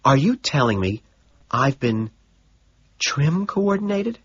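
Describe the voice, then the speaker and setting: A man's voice: mid-range voice, sing-song, slow pace, slightly rough, no room sound, lo-fi sound, voice pushed up, heavily acted. A man voicing a dramatic, scandalized young society woman in an audiobook, gasping and exclaiming.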